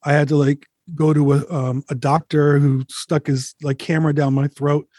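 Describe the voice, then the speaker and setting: A man in his forties, a touring musician, telling candid road stories in a relaxed, rambling way, swearing freely. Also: fairly high pitch, moderate pace, no room sound, clean studio sound. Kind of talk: casual interview, candid storytelling.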